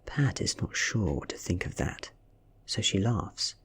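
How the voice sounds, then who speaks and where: A man with a British accent softly reading a story as ASMR narration, breathy and near a whisper. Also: on the low side, animated, measured pace. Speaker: audiobook reader.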